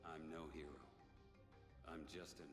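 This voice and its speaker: An actor playing a terse, deadpan, world-weary warrior guardian in a video game. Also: male voice, rumbling low, normal speed. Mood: stoic, terse. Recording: echoey game audio under loud music.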